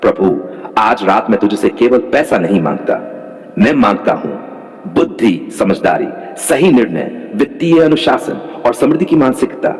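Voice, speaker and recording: A man's voice: fairly low pitch, lively intonation, moderate pace, darkish tone. Man praying fervently in long reverb. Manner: fervent, rhythmic repeated declarations. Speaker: prayer leader. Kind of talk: scripted devotional prayer.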